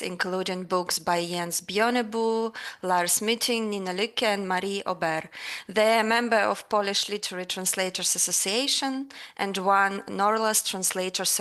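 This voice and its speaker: Polite woman interviewer, mid pitch. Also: a bit bright. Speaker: podcast interviewer. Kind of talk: reading a guest introduction and asking questions.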